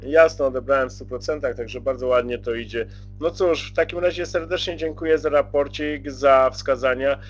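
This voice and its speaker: A man's voice: mid-range voice, normal speed, soft background music. A man chatting courteously and casually as a radio operator, using radio jargon. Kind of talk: casual radio contact chat. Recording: dull lo-fi CB radio audio.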